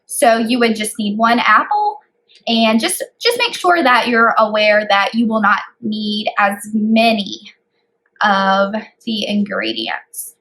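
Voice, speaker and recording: A woman's voice: on the high side, slow pace, a bit bright, poor audio. A woman hosting storytime as a children's librarian, reading aloud and explaining things in simple phrasing for young children.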